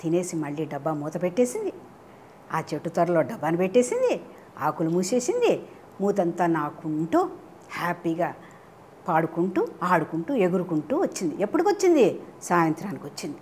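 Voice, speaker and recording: A woman telling stories to children in an animated way, often putting questions to her young listeners. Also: fairly low pitch, normal speed, slight background noise. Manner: engaging listeners with frequent questions. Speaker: children's storyteller and TV presenter. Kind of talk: animated storytelling addressed to children.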